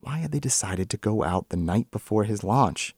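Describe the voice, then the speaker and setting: A man reads literary fiction aloud in a low voice. The sound is dry, with very little room around it, and the delivery is that of an author reading a short story. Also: expressive, moderate pace, a little mellow, slightly rough, no echo.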